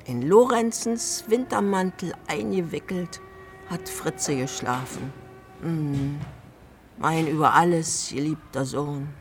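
A man's voice: fairly high pitch, creaky, fairly bright tone, slight background noise, full character voice. A man playing a garrulous, stubborn old Berlin widow in a radio drama, rambling proudly in colloquial Berlin dialect.

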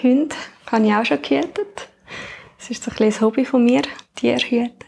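A woman's voice: on the high side, a little fast, vocal fry, darkish tone, breathy edge. A young woman with a Swiss German accent chatting casually in an interview. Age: young adult. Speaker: new podcast team member.

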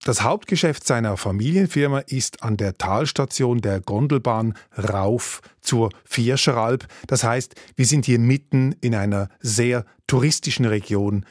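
A man reporting and explaining in a measured radio business feature. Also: mid-range voice, no room sound, studio quality.

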